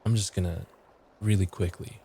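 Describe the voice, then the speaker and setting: A man talking casually and a bit haltingly as a guest player in a tabletop roleplaying game. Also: low-pitched, fairly even intonation, dark tone, breathy edge.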